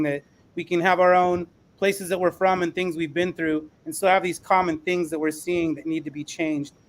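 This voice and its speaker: A man's voice: a little high, a little hiss or hum. A male podcast co-host making reflective, conversational remarks.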